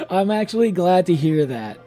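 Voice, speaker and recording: Higher-voiced man chatting on a livestream. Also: sing-song, mellow. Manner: halting, repetitive, informal with swearing. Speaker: livestreamer playing a video game. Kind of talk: casual chat with stream viewers. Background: game music.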